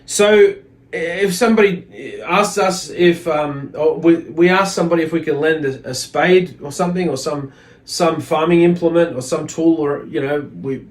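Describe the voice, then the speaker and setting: A man's voice: fairly high pitch, normal speed. A man giving an informal religious lesson, reading aloud and explaining in a hesitant, reflective manner. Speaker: religious teacher.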